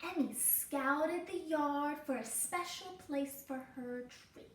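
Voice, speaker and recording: A woman teacher reading a children's storybook aloud with expressive, dramatic, deliberate phrasing. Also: high voice. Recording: roomy, distant mic, faint noise.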